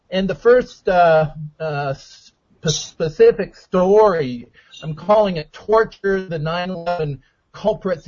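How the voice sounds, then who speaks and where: A man hosting a radio interview, introducing his guest and topics in a measured, deliberate way. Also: high-pitched. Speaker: radio show host. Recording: dull lo-fi sound.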